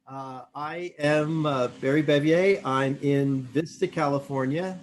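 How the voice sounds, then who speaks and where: A man's voice: on the high side, steady tone, a little slow, slight background noise. A man in his fifties from Michigan introducing himself on a video call, hesitant, with many fillers. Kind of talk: self-introduction.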